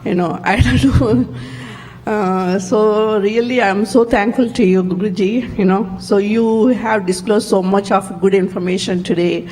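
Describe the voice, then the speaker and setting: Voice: medium pitch, steady tone, unhurried, darkish tone, slight background noise. Gushing speaker in a reverberant hall. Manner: gushing, with many fillers. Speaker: audience member thanking the speaker. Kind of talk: public thanks at a spiritual gathering. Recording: reverberant hall with faint ambience.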